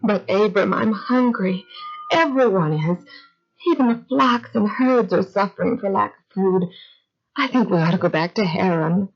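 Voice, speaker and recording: A woman playing an emotional, principled biblical wife in a radio drama, with a husky voice and expressive, pleading delivery. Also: mid-range voice, normal speed, soft background music. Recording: muffled retro audio drama sound.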